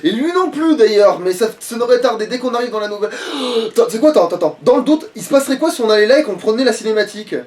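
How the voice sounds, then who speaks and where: A high-pitched man chats casually through a gaming session. He talks quickly and informally, with some mild swearing, and sounds set back from the microphone. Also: expressive, a little mellow, recorded from a distance. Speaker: let's play gamer.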